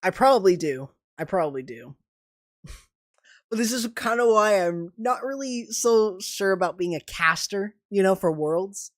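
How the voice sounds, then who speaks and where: A young man commenting live on a video game match, excitable and quick to burst into exclamations, his voice strained and starting to go hoarse. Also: high-pitched. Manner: excitable, rising to sudden exclamations. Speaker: esports commentator and streamer.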